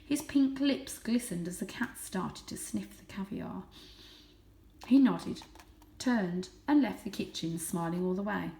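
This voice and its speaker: A woman reading a children's story aloud as host, with expressive, dramatic and suspenseful narration. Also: medium pitch, measured pace, creaky. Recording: echoey room.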